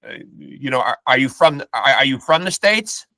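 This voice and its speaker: Bright-voiced podcast host, dry sound. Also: male voice, mid-range voice, big pitch swings, normal speed, no echo. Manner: laid-back, says "man" often, stammers on restarts. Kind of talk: casual interview.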